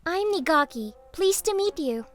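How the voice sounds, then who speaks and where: 여자 성우가 연기하는 높은 목소리의 당돌한 신입 여사원. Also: female voice, extremely high pitch, expressive, normal speed, faint music behind. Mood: polite, cool. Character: cheeky, overconfident young female office junior. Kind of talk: English manga dub acting.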